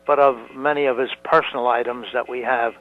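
An elderly man in his nineties answers slowly, stopping often with fillers and restarts. His first language is Italian and he is hard of hearing. The recording is dull and muffled. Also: mid-range voice, flat delivery. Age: 90s. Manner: slow, halting, many fillers and restarts. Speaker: museum founder. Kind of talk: interview answers.

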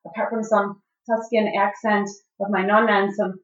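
A woman's voice: mid-range voice, little pitch movement, normal speed. A middle-aged woman from the Chicago area, bilingual in English and Italian, speaking as an interview guest in an earnest way with frequent fillers and restarts. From Chicago area, United States. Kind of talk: casual interview conversation. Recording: dull, roomy sound from a distance.

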